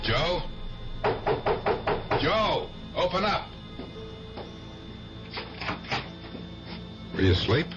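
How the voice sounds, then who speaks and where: An actor playing a protective, worried, plain-spoken friend in a 1970s radio drama. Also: male voice, on the high side, very animated, slow. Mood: concerned. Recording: dull 1970s radio broadcast, music underneath.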